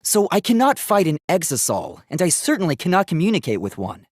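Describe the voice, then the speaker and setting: A man's voice: high-pitched, wildly swooping pitch, a little fast, dry recording, clean studio sound, exaggerated voice. A man voicing a polite, earnest, logical young male robot in a video game, speaking formally and precisely. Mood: defensive. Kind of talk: English video game voice acting.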